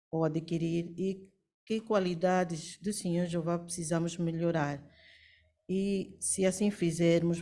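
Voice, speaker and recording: Female congregation member, lowish voice, deliberate prepared answer at a Bible study. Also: mellow. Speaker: congregation member giving a comment. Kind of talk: prepared comment at a religious study meeting.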